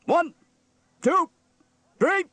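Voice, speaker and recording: A man's voice: extremely high pitch, very animated, moderate pace, vocal fry, a bit bright, dry recording, voice pushed up, heavily acted. A man voicing a stern, bossy fire station officer in a children's story, barking orders. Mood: stern, commanding.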